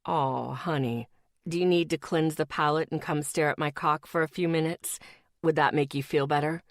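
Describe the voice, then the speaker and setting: A woman voicing a cocky, teasing young boyfriend with a smug, slow drawl, her voice pitched down for him. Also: steady tone, dry recording, clean studio sound.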